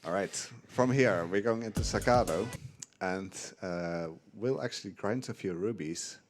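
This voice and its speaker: A man giving relaxed live commentary on his own speedrun, chuckling often and filling gaps with "uh". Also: fairly low pitch, unhurried, faint music behind. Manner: relaxed, chuckling, filler-heavy play-by-play.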